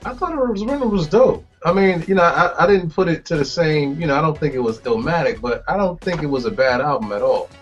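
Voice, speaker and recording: A man on the panel giving his views in a relaxed, reflective way. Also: on the high side, a little fast, few pauses. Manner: reflective, acts out sounds in stories. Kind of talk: casual conversation. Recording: lo-fi, faint music behind.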